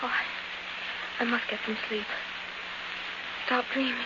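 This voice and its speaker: An actress playing a nervous, well-bred young heiress in a 1940s radio drama, polite and dramatic. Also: female voice, on the high side, fairly even intonation, takes it slowly. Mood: shaken. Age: young adult. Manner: anxious, polite, breathlessly dramatic. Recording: muffled, noisy 1940s radio recording.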